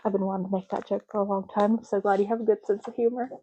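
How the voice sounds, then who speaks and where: A woman doing a soft-spoken ASMR roleplay, talking slowly and casually and often repeating words in a deliberate way. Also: mid-range voice, little pitch movement, husky, rough recording.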